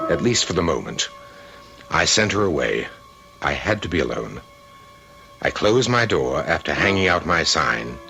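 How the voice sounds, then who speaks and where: An actor playing a fastidious, aloof uncle who narrates an old-time radio drama in formal, ornate wording. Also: male voice, a bass voice, creaky, full character voice.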